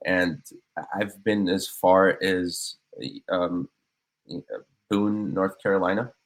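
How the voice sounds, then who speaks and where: A man's voice: deep voice, flat delivery, slow pace. A man in his thirties from Cuba, raised in Miami, talking earnestly as a guest on a podcast, with many fillers and restarts. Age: 30s. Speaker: guest, business owner. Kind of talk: casual podcast interview.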